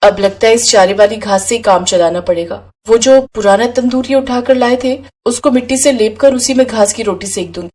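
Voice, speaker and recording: A woman's voice: on the high side, fairly quick, hardly any pauses, a little mellow, lightly acted. A woman voicing a poor, devoted young wife and mother in a moral story, speaking in an earnest, plaintive way. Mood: resigned.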